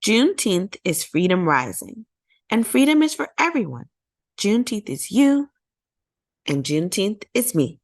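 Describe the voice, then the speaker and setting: A woman's voice: mid-range voice, big pitch swings, a little slow, no room sound, studio quality. A woman voicing an elderly, hopeful great-grandmother telling her story in a children's storybook, with emphatic, lyrical phrasing. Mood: jubilant, earnest. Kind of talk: children's storybook character reading.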